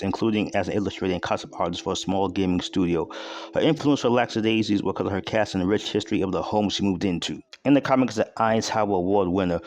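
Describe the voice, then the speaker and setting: A man hosting an enthusiastic video essay, speaking in an informal, slangy and emphatic way. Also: lowish voice. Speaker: host.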